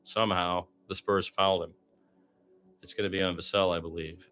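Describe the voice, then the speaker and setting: A man's voice: low voice, flat delivery, moderate pace, a bit bright. A man doing live radio basketball play-by-play in a conversational, opinionated, exclamatory way.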